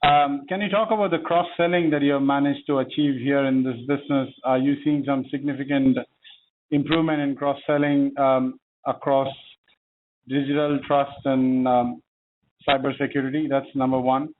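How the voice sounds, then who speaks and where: A man calling in as a financial analyst, politely asking a list of questions with frequent pauses. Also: mid-range voice, little pitch movement. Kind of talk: asking questions on an earnings call. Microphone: phone. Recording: phone line with faint noise.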